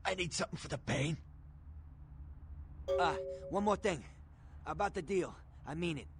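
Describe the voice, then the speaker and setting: An actor playing a badly hurt, shady fixer in a video game, pleading haltingly through pain. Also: male voice, on the high side, lively intonation, music in the background. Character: badly hurt, desperate shady male fixer begging for help. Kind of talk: video game dialogue acting.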